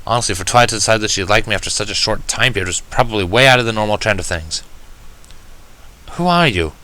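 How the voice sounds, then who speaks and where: A man narrating a first-person fan fiction story in an expressive, wry, dramatic manner. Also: lowish voice, very fast. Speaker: audiobook narrator.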